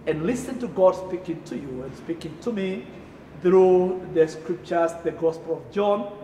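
Kenyan pastor preaching in an echoey church. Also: male voice, high-pitched, a little slow, a little hiss or hum. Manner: emphatic preaching with gestures, rising loud on key phrases. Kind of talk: sermon. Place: church sanctuary, indoors. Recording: echoey room reverb.

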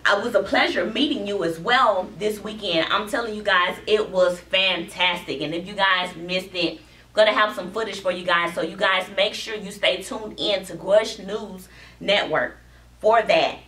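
A woman in her thirties hosting an interview show with brisk, cheerful presenter patter. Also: medium pitch, bright, forceful tone. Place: small studio office. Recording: echoey room, mic far off, faint noise.

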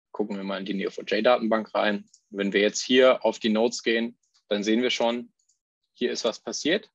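A man presenting a live software demo, explaining each step as he clicks through it, with fillers and restarts. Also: mid-range voice, no echo, muffled recording.

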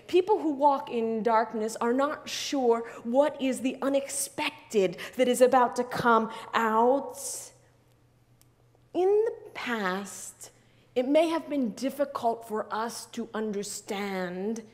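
A middle-aged woman pastor preaching a sermon in a large church sanctuary, earnest and measured, with repeated phrases. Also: fairly high pitch, a little mellow. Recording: long church reverb.

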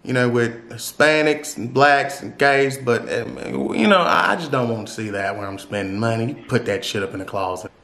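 A man's voice: mid-range voice, normal speed, hardly any pauses, pushed and bright, reverberant. A man making a casual prank call, rambling and stammering in a brash, profane way. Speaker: prank caller.

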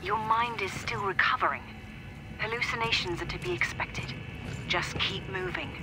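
An actress playing a calm female guide who gives urgent, steady instructions to the player over a radio link. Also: mid-range voice, normal speed, loud music behind the voice, lightly acted, poor audio. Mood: guiding. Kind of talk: video game voice acting.

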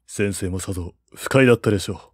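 A man's voice: low-pitched, quick pace, husky, no echo, studio quality. A man voicing an earnest, loyal royal advisor in an audiobook, polite, formal and persuasive. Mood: apologetic. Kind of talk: audiobook character voice.

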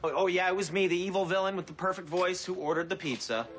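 A man playing a vain, menacing narcissist in a sitcom, with a creepy voice, grandiose and deliberately dramatic in delivery. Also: high-pitched, fairly bright tone. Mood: indignant boasting. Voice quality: creepy voice, slipping into breathy whispers. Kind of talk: sitcom monologue acting.

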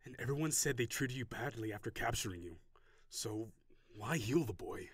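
A man voicing a proud, gruff tsundere dragon warrior in an audio roleplay, brusque and defensive with grudging sighs. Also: mid-range voice, lively intonation, moderate pace. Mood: gruff, questioning.

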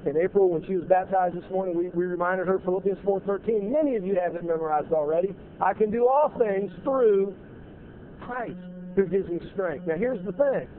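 High-voiced emphatic pastor, tinny sound. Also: male voice, moderate pace. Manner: emphatic, repeats key phrases. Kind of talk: sermon. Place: large church sanctuary with choir behind. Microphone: lapel mic. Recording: tinny narrow-band audio, faint noise.

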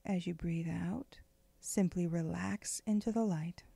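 Woman, meditation guide, very slow pace, gravelly creak, gentle and soothing, breathy phrase endings. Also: on the low side, fairly even intonation, no room sound. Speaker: meditation guide, spiritual director.

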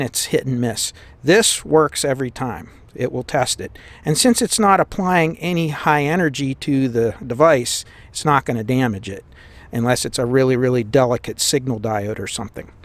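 A man's voice: fairly high pitch, no room sound. An American man explaining a repair step by step in a plain-spoken, informal way as he works at a bench. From United States. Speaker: host of a radio repair tutorial. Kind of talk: hands-on tutorial, explaining as he works. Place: indoors at a workbench.

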